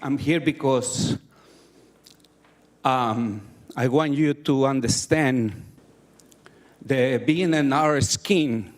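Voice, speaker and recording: A man, giving earnest personal testimony at a community meeting with pauses between phrases. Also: medium pitch. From Mexico City. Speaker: community advocate.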